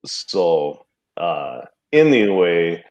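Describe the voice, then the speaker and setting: A man's voice: deep voice, big pitch swings, extremely slow, darkish tone, exaggerated voice. A man playing a goofy, boastful Russian-born man who mangles a fake Scottish accent in an improv comedy scene.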